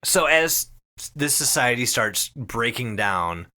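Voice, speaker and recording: A man's voice: medium pitch, lively intonation, slow pace, pushed and bright. A man hosting a podcast, retelling and discussing a story in casual, profane, emphatic talk. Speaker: podcast host.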